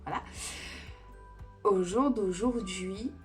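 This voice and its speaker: A woman's voice: mid-range voice, takes it slowly, darkish tone, soft background music. A woman in her thirties answering viewer questions in a casual beauty vlog, chatty and digressive with many fillers. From Italian family roots. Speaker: beauty vlogger. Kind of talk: casual Q&A chat.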